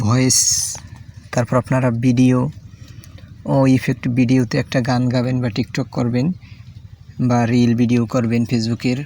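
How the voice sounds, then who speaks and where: A man with a monotone, gravelly voice presents a casual how-to tutorial. He goes through instructions haltingly, step by step. There is faint noise behind him. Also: mid-range voice. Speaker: tutorial host. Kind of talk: casual app tutorial narration.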